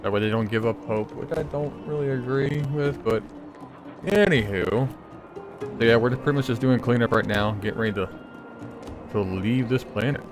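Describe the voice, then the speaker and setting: A man with a sick, tired, low-energy voice giving casual, offhand commentary as a streamer playing a video game. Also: moderate pace. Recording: game music behind the voice. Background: video game music.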